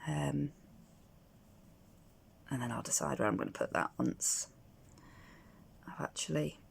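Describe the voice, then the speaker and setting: A middle-aged woman with a croaky voice, chatting casually through a crafting tutorial at home, hesitant and full of ums and restarts. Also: rumbling low, unhurried, a little hiss or hum. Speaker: crafter demonstrating card making.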